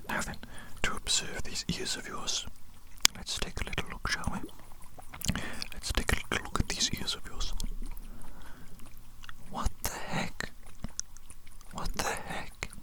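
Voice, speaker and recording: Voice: extremely high pitch, unhurried, long pauses, low-quality recording. An ASMR performer voicing an eccentric evil doctor who dotes on a tiny creation, muttering and repeating phrases theatrically at a close microphone. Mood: baffled, dismayed. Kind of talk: whispered ASMR medical roleplay.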